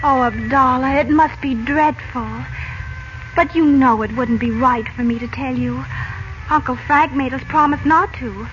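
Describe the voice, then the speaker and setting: A woman's voice: high-pitched, a little slow, noisy background. A woman playing an innocent, trusting young girl, anxious and polite, in a 1940s radio serial. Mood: earnest, apologetic. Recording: old 1940s radio broadcast, muffled.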